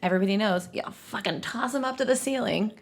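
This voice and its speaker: A woman co-hosting a podcast in casual comedic chat, joking crudely and swearing freely in an animated, husky voice. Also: medium pitch, quick pace. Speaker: podcast co-host.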